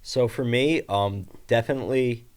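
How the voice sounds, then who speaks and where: A man, a bassist guesting on a podcast, giving earnest and measured advice. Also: a little mellow, clear, resonant tone. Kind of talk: casual interview chat.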